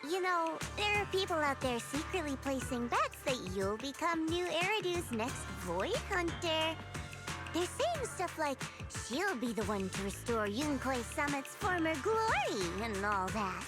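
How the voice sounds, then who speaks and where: An actress voicing a bubbly, gushing, chatty young female officer in a video game, full of flattery. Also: sits high, a little slow. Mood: bubbly, flattering. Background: game music.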